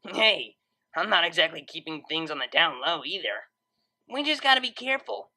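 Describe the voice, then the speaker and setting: A woman's voice: on the low side, big pitch swings, moderate pace, bright, forceful tone, exaggerated voice. A woman voicing a brash, playful young female unicorn DJ who talks in a casual, slangy, teasing way. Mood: casual, candid. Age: young adult.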